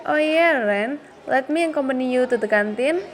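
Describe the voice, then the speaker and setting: A woman's voice: high-pitched, expressive, normal speed. A young woman playing a timid, bullied schoolgirl in an amateur radio drama. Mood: polite. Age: young adult.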